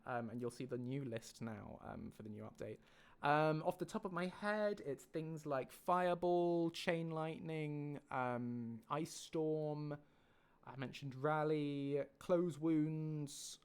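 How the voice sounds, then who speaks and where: A man's voice: a little high, steady tone, slow, clear, resonant tone. A man hosting a livestream, casually explaining updates to viewers in an enthusiastic, rambling way. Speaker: mod creator and livestream host.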